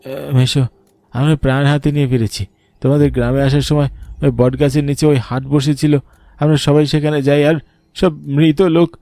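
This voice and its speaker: A man voicing a bold, animated young man among friends in a cartoon ghost story. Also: on the high side, moderate pace, no echo, pitched above the performer's own voice, close to an everyday voice. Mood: shaken.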